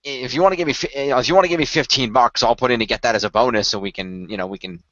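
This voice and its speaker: A man's voice: medium pitch, racing, a bit bright, no room sound, highs cut off. A man co-hosting a casual podcast, rambling on in detail with a fan's enthusiasm. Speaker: podcast co-host.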